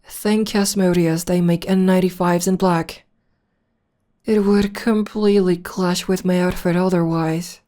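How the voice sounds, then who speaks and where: A woman's voice: on the low side, fairly even intonation, warm tone, lightly acted. A woman playing a theatrical female supervillain in an ASMR roleplay, arch and teasing, coaxing and tender with a beloved enemy. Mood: amused, teasing. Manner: arch, unhurried, coaxing, mock-grand.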